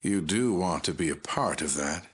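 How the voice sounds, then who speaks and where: A man's voice: deep voice, animated, breathy edge. A man voicing a megalomaniac mutant overlord in a video game, cold, menacing and commanding. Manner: slow, commanding, imperious. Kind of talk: video game villain voice acting.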